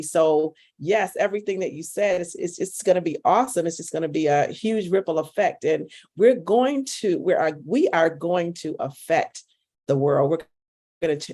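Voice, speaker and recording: A woman's voice: fairly low pitch, darkish tone. A woman speaking off the cuff in an earnest, emphatic, repetitive motivational way. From United States, Michigan. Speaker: community member guest. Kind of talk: unscripted motivational talk.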